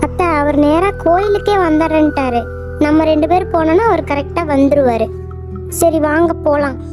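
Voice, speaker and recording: A woman's voice: sits high, moderate pace, voice pushed up, close to an everyday voice. A woman voicing a fretful young Tamil housewife in a cartoon, with a pleading, repetitive manner and a Tamil accent. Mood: coaxing. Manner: pleading, fretful, keeps repeating "athai". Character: young Tamil housewife Thamayanthi, anxious and fussy; also a ghost disguised as her. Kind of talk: cartoon voice acting. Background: background music.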